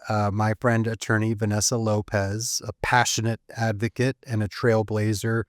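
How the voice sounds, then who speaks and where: A man's voice: fairly low pitch, flat delivery, normal speed, no echo, clean studio sound. A man hosting an interview podcast, asking casual questions with frequent "uh"s. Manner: warm-up questions, frequent filler "uh". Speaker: podcast host.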